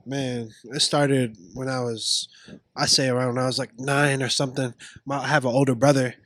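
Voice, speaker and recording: A man's voice: mid-range voice, flat delivery, moderate pace, a bit bright, no room sound. A man in his twenties, a musician and producer, talking casually and a little hesitantly on a podcast. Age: 20s. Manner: hesitant, thoughtful.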